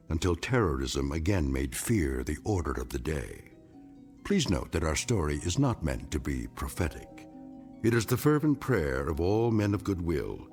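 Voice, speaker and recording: An actor playing a grave, measured narrator of eerie fables in a radio drama, in a solemn, portentous manner. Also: male voice, low-pitched, a little mellow. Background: music.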